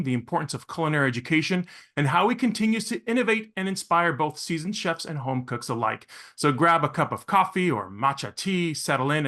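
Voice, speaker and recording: A man's voice: fairly high pitch, fairly bright tone. A middle-aged man from Venezuela hosting a podcast in a polished, effusive, showman-like way. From Caracas, Venezuela. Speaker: podcast host. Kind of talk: polished scripted introduction, then interviewing.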